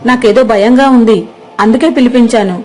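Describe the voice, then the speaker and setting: A woman performs a worried village wife who runs her household, in a storybook drama. Her voice is fairly high, with music behind it. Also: a little fast, warm tone, close to an everyday voice, low-quality recording.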